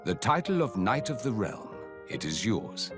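An actor playing a grave, dignified king in a video game, speaking in formal, measured, archaic phrases. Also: on the low side, sing-song. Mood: solemn, gracious. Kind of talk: video game cutscene acting. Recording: loud orchestral music behind.

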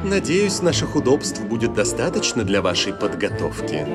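A theatrical cartoon coach with a grand, drawn-out delivery. His pitch rises and falls widely. A loud music score plays under him. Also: big pitch swings. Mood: showy welcome. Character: smooth, theatrical male coach hosting a grim castle.

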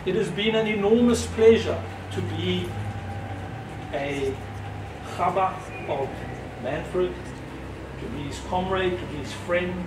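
A man in his sixties giving a reflective, measured speech to an audience at a gallery opening, with pauses between phrases. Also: sits high. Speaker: speaker at an exhibition opening. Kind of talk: unscripted public speech. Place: gallery entrance, indoors facing an audience. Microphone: far camera, no mic seen. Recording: roomy, distant, noisy ambience.

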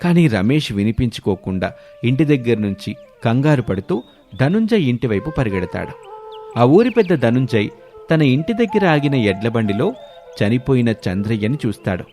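A man's voice: mid-range voice, very animated, moderate pace, warm tone, soft background music. A man narrating a scripted moral story in a dramatic storytelling style.

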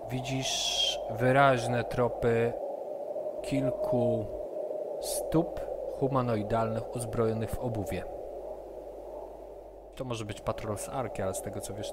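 A man acting as game master, narrating an online tabletop role-playing session. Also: medium pitch. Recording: online session with loud background noise.

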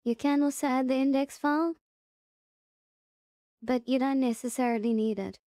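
A woman with a fairly high but very soft-edged, mellow voice talks through a software tutorial. She is casual and plain-spoken, taking the listener through it step by step. The sound is dry and close, with little trace of a room. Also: fairly even intonation, moderate pace, no echo. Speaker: tutorial presenter.

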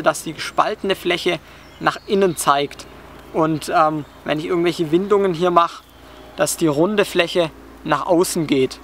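Calm young man teaching outdoors. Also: a little high, creaky, a little hiss or hum. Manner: calm, explanatory, many "ähm" fillers. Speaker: bushcraft instructor. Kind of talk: hands-on outdoor tutorial. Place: outdoors in a forest, sitting on the ground.

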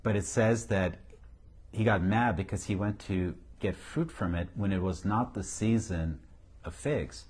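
Low-voiced Syrian-accented pastor. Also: male voice, a little slow, darkish tone, husky. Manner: emphatic, repeats key phrases, chuckles. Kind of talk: casual ministry talk. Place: indoor office, seated on couch. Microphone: lapel mic.

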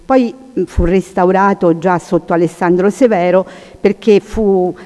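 A woman's voice: lowish voice, steady tone, measured pace, darkish tone. A woman giving an informal lecture in a large hall, often searching for her words. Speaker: conference speaker. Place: large hall, seated at panel table. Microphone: table microphone. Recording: long hall reverb.

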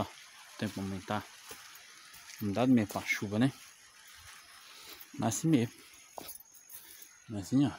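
A man's voice: lowish voice, slight background noise. A man, a bricklayer vlogging casually from a rural building site, chatty and devout, pointing things out as he films on his phone. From Brazil. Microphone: phone camera held by the speaker.

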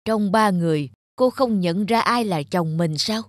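An actor playing a wise old village man with measured authority in an animated folktale. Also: high voice, no room sound, studio quality, natural voice. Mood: stern.

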